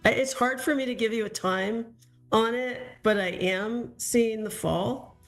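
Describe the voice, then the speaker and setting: A woman gives a live psychic reading, reassuring and groping for the right words. She speaks very slowly and carefully. Soft music plays faintly behind her. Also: fairly high pitch. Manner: slow, reassuring, searching for words. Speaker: psychic reader.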